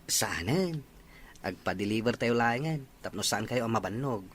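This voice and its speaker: A man's voice: medium pitch, lively intonation, normal speed, a bit bright. A young man playing a polite, earnest boyfriend in an Ilocano audio drama, speaking a little hesitantly. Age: young adult.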